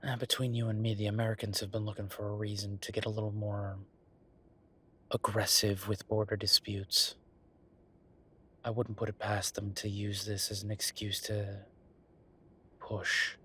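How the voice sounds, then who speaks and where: A man's voice: fairly low pitch, monotone, moderate pace, long pauses, fairly bright tone, slightly rough. A man playing a wry, affectionate werewolf bureaucrat in an intimate roleplay audio drama, talking in a casual, teasing, conversational way. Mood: wry, concerned.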